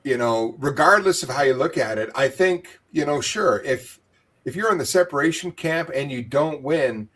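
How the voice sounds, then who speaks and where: A man's voice: fairly high pitch, expressive, moderate pace, fairly bright tone. Canadian man co-hosting a livestream. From western Canada. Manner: reads viewer comments, hedging with "you know". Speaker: livestream co-host. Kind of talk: casual live town hall discussion.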